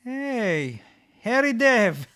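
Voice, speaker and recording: A man hosting a live stream, reading out viewer comments and answering them in a halting way, with many restarts and repeated words. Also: extremely high pitch, very animated, bright, forceful tone, smooth. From Israel. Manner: reads out comments, then answers.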